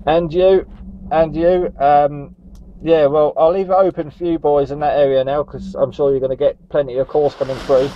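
Higher-voiced British radio man, dull sound. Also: steady tone, moderate pace, a little hiss or hum. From Northumberland, England. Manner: friendly, chatty, uses radio jargon. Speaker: CB radio operator. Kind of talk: casual radio contact chat. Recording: dull, muffled radio audio.

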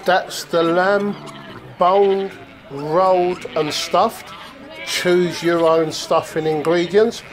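A man's voice: high-pitched, fairly even intonation, extremely slow, a little hiss or hum. A man giving a casual, jokey running commentary on his home cooking like a showman chef. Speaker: home cook presenting his food. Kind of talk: casual cooking video narration. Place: home kitchen. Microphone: handheld phone behind the camera.

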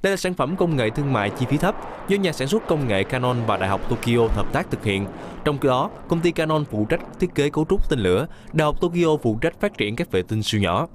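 Fast-reading male news narrator. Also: mid-range voice, background noise. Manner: brisk, even newsreading. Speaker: news reporter. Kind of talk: scripted news voice-over.

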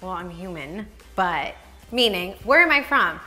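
A woman in her thirties with an American accent tells her own story candidly to camera. Her voice is bright and forceful, set in a reverberant room with music underneath. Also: lowish voice, expressive, a little slow. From Dominican Republic, raised in northeastern United States. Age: 30s. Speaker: professional bikini fitness competitor. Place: large open indoor living area with kitchen. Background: background music.

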